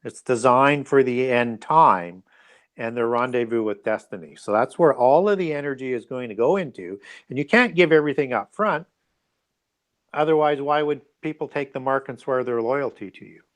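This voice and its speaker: A man giving explanatory answers as an interview guest, speaking conversationally and haltingly with frequent check-in tags. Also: medium pitch. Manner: halting, explanatory, often adds "right?" and "you know".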